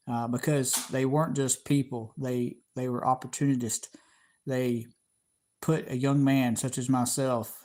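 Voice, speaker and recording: A man's voice: mid-range voice, darkish tone. A middle-aged man from Georgia with a Southern American accent, hosting a casual livestream and talking in a rambling way with many fillers and restarts. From Georgia, USA. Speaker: channel host.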